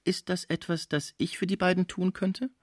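A man voicing a sly housekeeper woman over fifty, falsely naive and sharp-tongued, in a storybook reading. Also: medium pitch, sing-song, fairly quick, no echo, clean studio sound, pitched above the performer's own voice. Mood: falsely harmless. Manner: feigned meekness, indignant.

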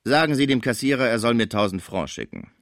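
A man's voice: mid-range voice, big pitch swings, fairly quick, fairly bright tone, no room sound, clean studio sound. A man voicing a jovial but hard-nosed theatre director, blunt and commanding, in a storybook reading. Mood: decisive.

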